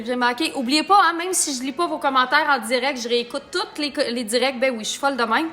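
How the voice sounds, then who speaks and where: Une femme d'âge mûr à l'accent québécois, qui bavarde en blaguant pendant qu'elle se maquille en direct. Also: female voice, sits high, fairly bright tone. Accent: Québécois French. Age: middle-aged. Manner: chatty and joking, talks while applying makeup. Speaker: makeup artist and business owner. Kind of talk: live-stream makeup tutorial chat.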